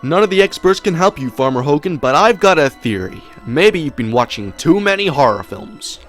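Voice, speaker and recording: Casting: male actor as showy TV quiz compere, fairly high voice, swooping delivery, glib patter. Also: lively intonation, moderate pace, faint music behind, close to an everyday voice. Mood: mocking. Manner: theatrical showman patter, patronising. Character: showy, glib television panel game compere. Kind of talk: fan audio drama acting.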